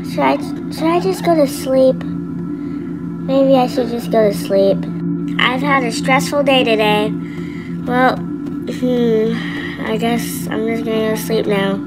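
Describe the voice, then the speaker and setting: A woman's voice: on the high side, takes it slowly, long pauses, loud music behind the voice, rough recording. A woman voicing a scared, lonely young boy in an amateur game roleplay, hesitant and sighing as the character mutters. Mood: lonely, sad.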